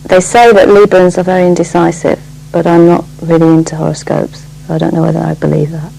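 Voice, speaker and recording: A woman's voice: fairly low pitch, little pitch movement, quick pace, gravelly, dark tone, low-quality recording. A woman in her forties from northern England with an English accent, giving a simple introduction of herself. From Lancashire, England. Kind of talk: self-introduction. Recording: faint background noise and hum.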